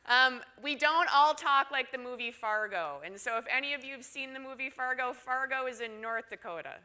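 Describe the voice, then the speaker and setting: A woman's voice: high voice, moderate pace, pushed and bright. A woman from Minnesota with a Minnesotan accent, giving a conference presentation in a chatty way, often asking the audience "right?". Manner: chatty, asks audience questions with tag "right?".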